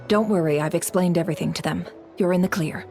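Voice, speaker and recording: A woman playing a composed, matter-of-fact leader in a video game, formal, measured and businesslike. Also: fairly low pitch, gravelly, darkish tone. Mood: reassuring, firm. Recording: music behind the voice.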